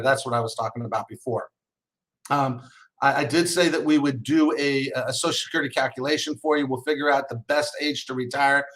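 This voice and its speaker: A man's voice: medium pitch, fairly bright tone, low-quality recording. A man in his sixties, a financial advisor, explains slides in a webinar in a chatty way and often restarts his phrases.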